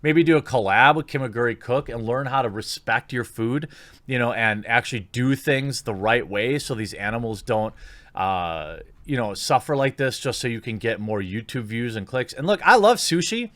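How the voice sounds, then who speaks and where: A man's voice: mid-range voice, lively intonation, moderate pace, fairly bright tone, dry recording. Indignant male commentator, dry close sound. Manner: indignant, disapproving, emphatic. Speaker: YouTube commentator, host. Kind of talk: opinion commentary, part read-out and part off-the-cuff.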